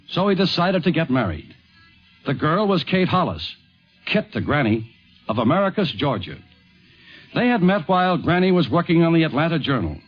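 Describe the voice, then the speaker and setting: A man with a fairly high voice narrates a scripted radio documentary in 1950s fashion. The recording is muffled and old, with music behind him.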